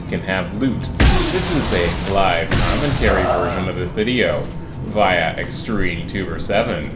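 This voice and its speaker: Man, roomy sound, casual gaming commentary, chatty and playful, loud music behind. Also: fairly low pitch. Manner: playful, chatty, uses silly made-up words. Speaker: gaming video creator.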